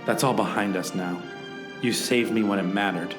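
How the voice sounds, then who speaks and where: A man's voice: fairly low pitch, moderate pace, close to an everyday voice. An actor playing an earnest, melodramatic hero who narrates his own adventure in an overwrought, theatrical way in a parody radio drama. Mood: tender, relieved. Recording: roomy echo, music underneath.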